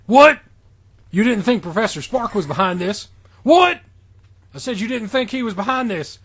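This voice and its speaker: An irritable villain professor, acted out by a man, barks and taunts in a raised, husky voice. He is a gloating schemer from a comic wrestling storybook. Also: high-pitched, lively intonation, normal speed, fairly bright tone, voice pushed up. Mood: incredulous.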